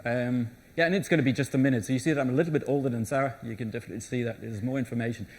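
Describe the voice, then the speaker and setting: A man's voice: mid-range voice, fairly even intonation, very fast, darkish tone. A middle-aged man giving a live conference talk, conversational, with frequent restarts and fillers. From Germany. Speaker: conference presenter. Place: conference session room.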